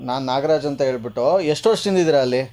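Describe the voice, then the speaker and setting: A man's voice: medium pitch, rapid-fire, darkish tone, slight background noise. A man with a Karnataka Kannada accent making a prank phone call as a radio jockey, pestering and argumentative in colloquial speech.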